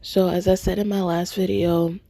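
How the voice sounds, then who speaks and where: A woman hosting a podcast in casual commentary, hoarse from a cold and thinking aloud haltingly, close to a phone mic. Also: fairly low pitch, flat delivery, mellow, no room sound. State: hoarse from a cold, voice nearly gone. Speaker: podcast host. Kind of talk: casual spoken commentary to listeners. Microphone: phone held close to mouth.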